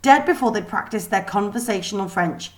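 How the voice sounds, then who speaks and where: A woman reads a children's novel aloud as a storyteller. Her pitch is mid-range, and her delivery is deliberate and expressive, with emphasis on dramatic words. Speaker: teacher reading a book aloud.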